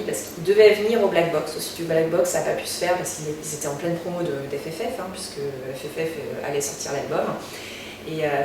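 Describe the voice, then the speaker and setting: French-speaking woman with a low voice in a very echoey room. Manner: halting, many fillers and restarts. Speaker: singer being interviewed. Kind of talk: casual interview answers. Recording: very echoey room, distant mic, noticeable background noise.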